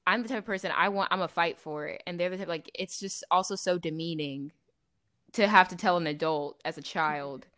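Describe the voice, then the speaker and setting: Smooth-voiced young woman, emphatic and animated. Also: lowish voice, brisk. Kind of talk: casual discussion.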